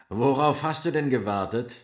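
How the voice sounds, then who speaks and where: An actor playing a school teacher who questions a pupil in an audio drama. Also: male voice, medium pitch.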